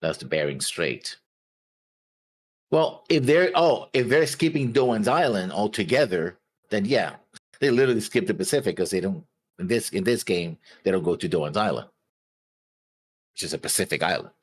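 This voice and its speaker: Man, low voice, casual fan discussion, show co-host, conversational delivery. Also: lively intonation, moderate pace.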